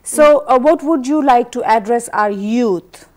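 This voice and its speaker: Halting middle-aged woman interviewer. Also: on the high side, a little mellow. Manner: halting, searching for words. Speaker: TV interviewer, host. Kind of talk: studio interview questions. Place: TV studio. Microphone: lapel.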